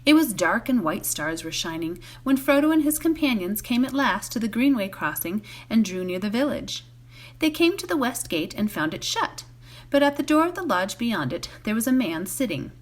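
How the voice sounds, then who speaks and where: Woman reading a bedtime story, animated intonation. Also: on the high side, normal speed. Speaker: volunteer story reader. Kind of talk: expressive bedtime novel narration.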